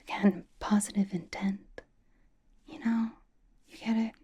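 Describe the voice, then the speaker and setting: A very breathy, hushed whisper from a blunt young pop star played by a woman who is secretly a cozy homebody. The tone is soft and mellow, with a deadpan, casual, halting delivery. She dotes on the listener in an ASMR roleplay. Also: fairly high pitch. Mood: matter-of-fact, bossy.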